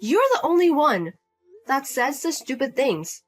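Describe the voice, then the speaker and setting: A woman doing a shrill, disgusted young wife. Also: big pitch swings, unhurried, faint music behind. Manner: sharp, repetitive refusals, high-pitched.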